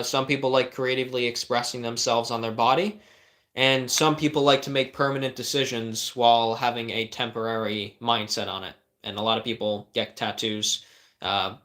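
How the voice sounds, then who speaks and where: A man's voice: medium pitch, fairly bright tone. A young man, a life coach, reading viewers' questions on a livestream and answering with blunt, direct advice and rhetorical questions.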